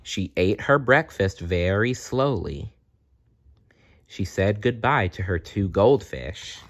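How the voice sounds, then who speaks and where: A man's voice: lowish voice, animated, slow. A man reading a children's storybook aloud as narrator, on a dull, lo-fi recording. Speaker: storybook reader.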